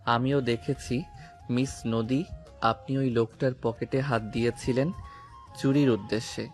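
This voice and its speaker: A man's voice: mid-range voice, steady tone, a little slow, a little mellow, lightly acted. Man acting a young male police officer, mid-range voice, cool, self-assured, restrained, romantic audio drama, faint music. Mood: amused, smitten. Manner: cool, restrained, mixes in English phrases.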